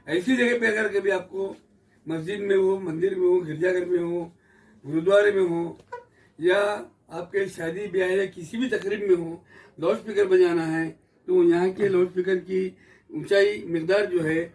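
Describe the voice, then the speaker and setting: A man with an Indian accent giving an informal explanatory talk, methodical, repeating and rephrasing his points. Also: sits high. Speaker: speaker explaining court rules to the public. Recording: voice distant from the mic.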